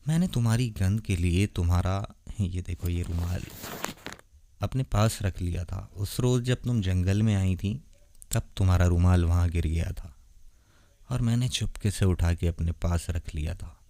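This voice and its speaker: Casting: male actor as ancient vampire lover for ASMR roleplay. Deep, dark-toned, soft-spoken, tender and lonely. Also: gravelly. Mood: affectionate. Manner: soft, intimate, gentle, unhurried. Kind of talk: romantic ASMR roleplay, addressed to the listener.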